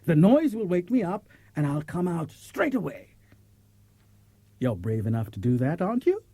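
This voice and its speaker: Older farmer, kindly and fretful, voice swings all over, slow and husky, old cassette. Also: male voice, on the high side, very animated, dark tone, no room sound. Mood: worried, persuasive. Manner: coaxing, fretful, deliberate. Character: kindly, worried older male farmer coaxing his cat. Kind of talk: audiobook character acting. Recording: cassette transfer with faint music.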